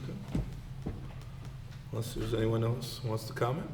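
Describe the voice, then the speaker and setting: A man chairs a town board meeting and moves it briskly from item to item. His voice is on the low side. Echo and background noise fill the meeting room. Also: fairly even intonation. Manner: brisk, agenda-driven.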